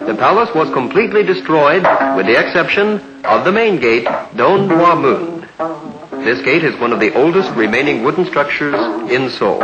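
A middle-aged man giving formal, measured 1950s newsreel narration. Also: on the high side. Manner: measured, formal announcer delivery. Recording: dull lo-fi 1950s newsreel soundtrack with loud music. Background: loud orchestral music.

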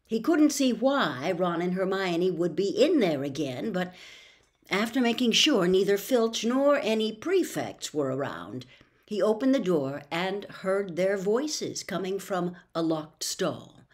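An older woman in her sixties reading a novel aloud in an expressive, theatrical storytelling style. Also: medium pitch, a little slow. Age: older, 60s. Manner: theatrical, acts out dialogue. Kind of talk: expressive audiobook-style narration. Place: small bedroom at home.

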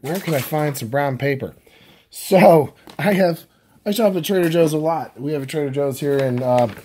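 A man with a scratchy, husky voice vlogs casually from home about postage prices. He rambles, with lots of fillers and restarts. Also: medium pitch, darkish tone. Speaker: YouTube vlogger. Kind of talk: casual talk to camera, explaining postage prices.

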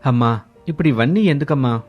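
A man playing a surprised, mildly teasing young village-born husband and son in an animated story. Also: mid-range voice, fast, muffled recording, close to an everyday voice. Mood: grumbling. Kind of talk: animated moral-story voice acting. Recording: music bed under the voice.

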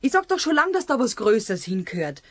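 A practical, nagging Bavarian housewife in a children's audio play. Her voice is fairly high and swings widely, in a dry recording. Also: very animated, fairly quick, dry recording. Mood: exasperated. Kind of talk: children's radio play acting.

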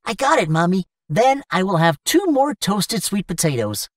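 A woman's voice: mid-range voice, very animated, normal speed, dry recording, studio quality, distant mic, close to an everyday voice. A woman voicing a sweet, eager little boy in a cartoon, childlike and affectionate. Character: sweet, eager little boy who adores his mother. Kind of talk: English-language cartoon acting.

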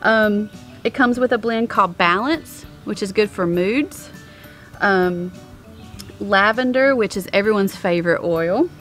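A woman in her thirties chats straight into a camera held at arm's length, outdoors on a grassy lawn. Her pitch sits in a middle range, and she fills her talk with ums. Faint music plays behind her. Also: unhurried, a bit bright. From American. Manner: chatty, many ums, upbeat about products. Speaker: vlogger. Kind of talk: casual product show-and-tell. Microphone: handheld camera at arm's length.

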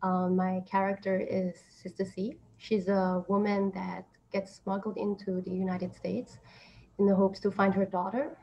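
A woman's voice: medium pitch, dark tone, muffled recording. A woman in her thirties from Austria, born in Taiwan, giving conversational interview answers as an actress. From born in Taiwan, grew up in Austria. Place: small plain room indoors. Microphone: computer mic on a video call. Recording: video call with background noise.

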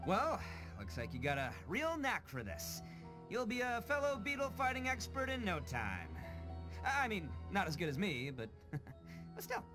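A boastful young oni tough guy for a video game. His delivery is boisterous and dramatic, swooping widely in pitch. He comes across as a goofy, big-hearted show-off, with light music underneath. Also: huge pitch swings, normal speed. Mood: boastful, amused. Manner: boisterous, dramatic, stammering bravado. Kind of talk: English video game dub acting.

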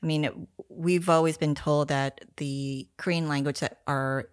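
A woman hosting a podcast interview, asking questions and murmuring soft affirmations. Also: deep voice, steady tone, unhurried, clear, resonant tone, dry recording, clean studio sound. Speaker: podcast host. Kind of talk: interviewing, backchanneling. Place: home study with bookshelves. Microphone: podcast mic on stand.

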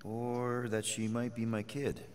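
An actor playing a wisecracking human space captain who talks in a casual, self-deprecating way. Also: male voice, medium pitch, level tone, unhurried, darkish tone, a little hiss or hum, natural voice. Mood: anxious.

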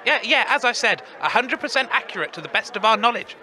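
A man puts on a shifty map peddler in a radio comedy. The voice is extremely high and piercing, breezy and stubborn, with a faint crowd behind. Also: animated, quick pace, bright, forceful tone, a little hiss or hum, exaggerated voice. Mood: breezy, unabashed. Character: shifty, unabashed wasteland map seller.

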